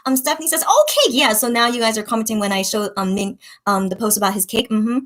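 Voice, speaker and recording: Chatty young woman, fairly high voice. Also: expressive, quick pace. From United States. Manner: rambling and chatty, many fillers and restarts, often trails off softly. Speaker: online shop owner reading viewer comments. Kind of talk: casual livestream-style chat.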